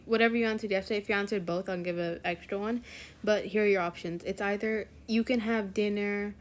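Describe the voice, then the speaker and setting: A woman's voice: medium pitch, a little mellow. A teenage girl hosting her own channel, chatting casually to viewers in a rambling way with lots of fillers and self-corrections. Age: teens. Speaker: fanfiction channel host.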